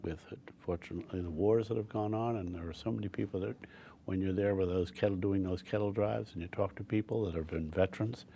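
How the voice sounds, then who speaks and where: An older man talking as a guest in a casual interview, earnest and halting, often repeating his words. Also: low voice, steady tone, vocal fry, warm tone, a little hiss or hum. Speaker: guest on a talk show.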